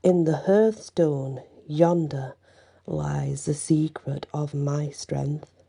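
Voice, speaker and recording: A boastful male dragon taunts in slow, deliberate words, as a woman reads his part. The voice stays low, soft and breathy. He sounds like a figure from a storybook. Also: fairly even intonation, exaggerated voice. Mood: mocking.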